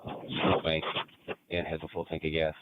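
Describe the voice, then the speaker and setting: Very low, flat man on noisy radio. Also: moderate pace. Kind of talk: radio report from the field. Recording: muffled, noisy two-way radio transmission.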